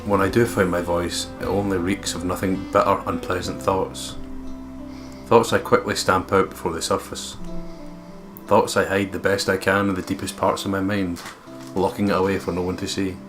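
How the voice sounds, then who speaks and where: Male let's play host, deep voice, reading story narration over guitar game music. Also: flat delivery, normal speed. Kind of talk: reading visual novel narration aloud.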